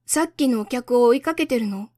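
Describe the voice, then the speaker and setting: A small storybook prince, played by a woman, curious and innocent. The voice is high and close, with a dry sound, and the manner is gentle and inquisitive. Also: a little fast, no room sound, close to an everyday voice. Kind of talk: storybook reading.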